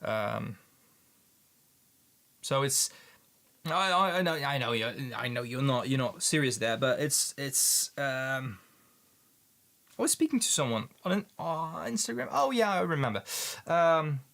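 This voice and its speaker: A man's voice: medium pitch, sing-song, creaky, fairly bright tone. Dutch-accented male streamer, casual, stop-start delivery. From Netherlands. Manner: halting, repeats words, many ums. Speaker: livestream host, paint maker. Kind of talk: casual livestream chat with viewers.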